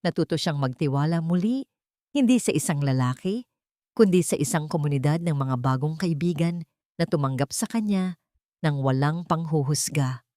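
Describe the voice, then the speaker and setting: A woman's voice: low-pitched, animated, normal speed, mellow, dry recording, clean studio sound. A woman reading a scripted, dramatic story as its narrator.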